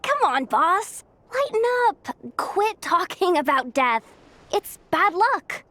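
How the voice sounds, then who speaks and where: A woman voicing an excitable, cheeky young girl sidekick in a video game, chatty and a bit whiny. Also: very high-pitched, slow pace, noisy background, full character voice. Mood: pleading.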